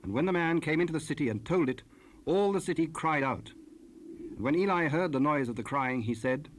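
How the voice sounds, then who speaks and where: A man's voice: on the high side, animated, a little fast. A man narrating scripture in archaic English for a dramatized Bible recording. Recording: noisy raw cassette rip.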